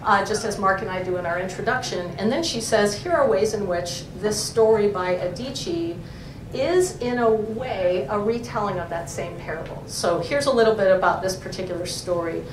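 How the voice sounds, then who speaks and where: A woman professor presenting a journal issue to a library audience, thoughtful, pausing with frequent ums as she explains terms. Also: mid-range voice, expressive. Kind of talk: academic talk to a library audience. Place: library event room. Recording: echoey room, far mic, noisy.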